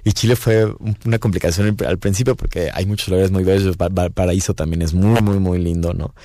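Deep-voiced Mexican man, mellow and relaxed. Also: a little fast, no echo, clean studio sound. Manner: relaxed, rambling, frequent fillers and "¿no?". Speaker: guest musician. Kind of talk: casual interview talk. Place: radio booth.

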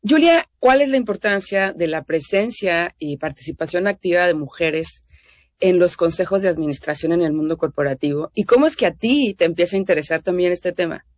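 Low-pitched woman interviewer, dry close sound. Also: expressive, brisk, darkish tone, no room sound. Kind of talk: conversational interview.

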